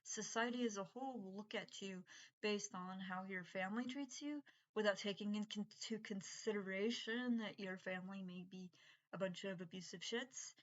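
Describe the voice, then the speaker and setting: A middle-aged woman with an unusual voice from breathing troubles, rambling in a halting, casual monologue full of fillers and restarts. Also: medium pitch, highs cut off. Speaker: vlogger.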